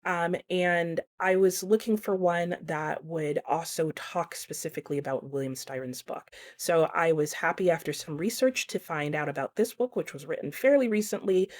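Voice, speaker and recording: Lower-voiced woman chatting about books. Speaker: book reviewer vlogger. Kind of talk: casual chatty book review to camera.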